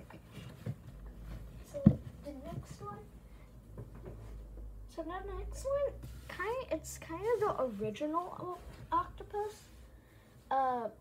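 A young female vlogger with a high voice talks casually at a slow pace. She rambles and hesitates, often going back over the same phrase. The home recording has clear noise behind her. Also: rough recording. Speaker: vlogger showing a plush toy collection.

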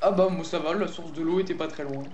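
A man's voice: on the high side, steady tone, fast, a little mellow, slight background noise. A young man from France chatting casually while playing a game, teasing his partner and swearing in jest. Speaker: gamer, co-host of a Minecraft video. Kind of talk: casual gaming chat. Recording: echoey room with game music.

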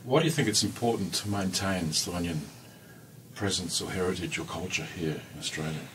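A man's voice: low voice, a little fast. A man conducting an interview in a small radio studio, asking short, prepared questions. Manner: short, prepared questions with brief listening murmurs. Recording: distant from the mic, faint hiss.

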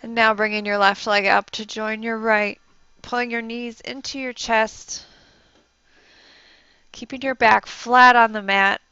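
A soft-spoken young woman, a yoga instructor, giving gentle, encouraging instructions and breathing cues. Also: fairly high pitch, steady tone, unhurried, clean tone, no echo, muffled recording. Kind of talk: guided yoga class instruction.

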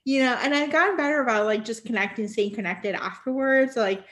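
Bubbly woman host with higher voice. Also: a little fast, a bit bright. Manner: bubbly, giggly, rambling with "like" and "you know". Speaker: podcast host. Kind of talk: casual chat.

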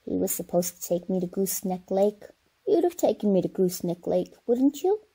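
A woman's voice: mid-range voice, very animated, a little fast, warm tone, slightly rough. A woman playing a feisty, blunt great-grandmother of ninety-six in an old-time radio comedy. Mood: grumbling. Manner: blunt, folksy.

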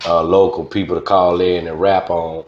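A man's voice: deep voice, flat delivery, normal speed, slight background noise. A middle-aged man with a Louisiana Southern accent, casually telling stories as a radio DJ being interviewed. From Baton Rouge, Louisiana. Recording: roomy, dull lo-fi audio.

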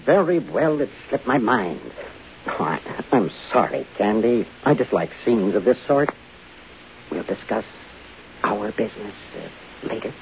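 A smooth-talking, self-important film director in a 1950s radio play. He is flirtatious and affected, with a very lively, swooping pitch. The recording is muffled and hissy. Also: unhurried. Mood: flustered. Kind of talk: 1950s radio drama acting.

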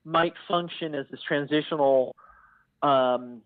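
A man as a podcast guest on a thin call line, giving thoughtful, measured analysis with hesitations. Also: fairly high pitch, dry recording, phone-quality sound. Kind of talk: analytical discussion.

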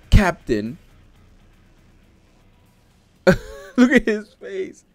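Animated young American man, swinging pitch. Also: drawn-out words, vocal fry, soft background music. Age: young adult. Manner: animated, slangy, profane reactions. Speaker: streamer reacting to a manga. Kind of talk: casual live read-along commentary. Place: home studio room with streaming setup. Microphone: desk microphone close by.

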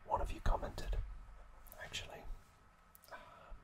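Male ASMR host. Whispered throughout, very slow and gentle, speaking straight to the listener. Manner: gentle, unhurried, softly spoken direct address to listeners.

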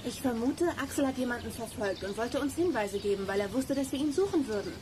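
A woman's voice: a little high, lively intonation, fast, darkish tone, a little hiss or hum, lightly acted. An actress playing a level-headed girl detective in a children's radio play. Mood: focused.

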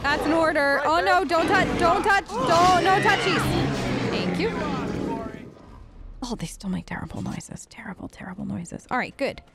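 A woman's voice: very high voice, lively intonation, moderate pace, over loud music, a loud crowd. A woman doing playful, chatty role-play commentary over a game, talking to the characters as she plays. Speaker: gamer playing in character.

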